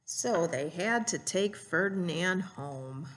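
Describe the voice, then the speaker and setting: A woman's voice: lowish voice, lively intonation, takes it slowly, background noise. A woman reading a storybook aloud and teaching children, explaining simply and putting questions to her young listeners.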